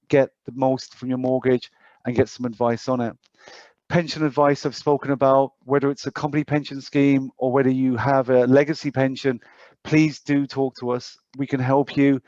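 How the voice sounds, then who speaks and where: A man's voice: mid-range voice, darkish tone, dry recording. A British man giving an informal financial advisor's presentation to clients over an online call, explaining in a hesitant way with hedged asides. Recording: dull, muffled online call audio.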